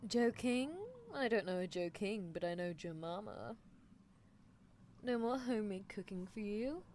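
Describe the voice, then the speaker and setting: Casting: woman as playfully menacing girl scientist, medium pitch, theatrical ASMR roleplay, audible background noise. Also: a little slow, a little mellow, lightly acted. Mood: teasing, chatty. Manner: theatrical, teasing, musing. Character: teasing female mad-scientist horse-girl, playfully menacing. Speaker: livestreamer. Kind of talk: roleplay ASMR livestream, answering chat in character.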